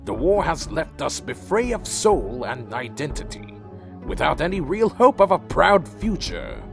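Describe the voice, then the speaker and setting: An actor playing a troubled, earnest vampire leader who speaks formally and solemnly in an audio drama. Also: male voice, a little high, sing-song, a little slow, vocal fry, over music, full character voice. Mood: deferential, pleading. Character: earnest, troubled male vampire clan leader, proud yet deferential. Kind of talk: audio drama narration and dialogue.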